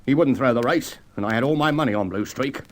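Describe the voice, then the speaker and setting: An actor playing an evasive, defensive racehorse owner, a villain in an old radio crime drama. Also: male voice, medium pitch, fast talker, close to an everyday voice. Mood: defiant. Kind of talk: 1950s Australian radio crime drama acting.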